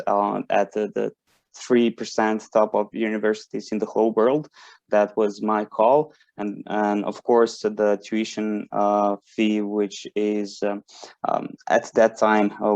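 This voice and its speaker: A young man from Bosnia in his twenties talks as a student to newcomers, pausing often on fillers and restarting his sentences. His voice sits low, and the recording is dry and a little dull, as over a video call. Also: darkish tone, dry recording. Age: 20s. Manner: hesitant, many fillers and restarts. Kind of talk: informal talk to prospective students.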